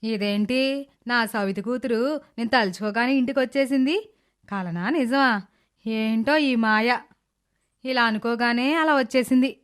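A woman's voice: on the high side, a little slow, long pauses, smooth, lightly acted. A woman voicing a bitter, hot-tempered stepmother who scolds and complains in a dramatized moral story. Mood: grudging, sarcastic. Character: bitter, hot-tempered stepmother, grudging housewife and doting mother.

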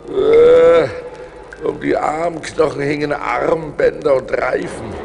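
An actor playing an adventurous seafarer who recounts his perils in dramatic, intense audio play narration, swinging from hushed to urgent. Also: medium pitch, creaky, long reverb tail. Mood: urgent, anxious. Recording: noisy vinyl record transfer.

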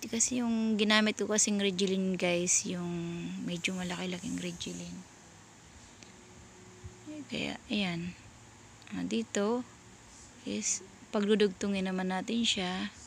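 A Filipino woman giving a casual step-by-step sewing tutorial in a halting way, often searching for words as she addresses viewers. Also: mid-range voice, little pitch movement, creaky, slight background noise.

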